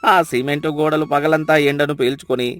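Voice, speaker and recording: A man's voice: a little high, fairly even intonation, a little fast, close to an everyday voice. A man voicing an anxious, deferential manservant who pleads and warns in a whiny way, for a cartoon moral story. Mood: alarmed. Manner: pleading, warning. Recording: music behind the voice. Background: music.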